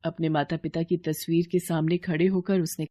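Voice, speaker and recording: A woman's voice: low-pitched, level tone, moderate pace, mellow, faint music behind. A woman reading a scripted children's moral story as narrator.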